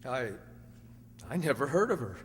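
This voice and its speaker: A man playing an excitable accused prisoner in an amateur radio play, recorded at a distance in a reverberant hall. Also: mid-range voice, moderate pace, slight background noise, close to an everyday voice. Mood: puzzled, dismissive. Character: excitable accused prisoner protesting his innocence.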